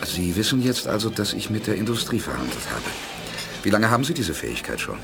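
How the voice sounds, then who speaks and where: An actor playing a calm, decisive space hero and leader in a 1980s German radio play, speaking with measured authority. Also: male voice, on the low side, creaky. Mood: measured, probing. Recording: music behind studio radio drama.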